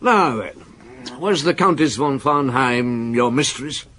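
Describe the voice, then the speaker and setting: An actor playing a gruff, world-weary chief police inspector who questions people with terse authority in a radio drama. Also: male voice, mid-range voice, lively intonation, slow, noisy background. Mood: probing, stern.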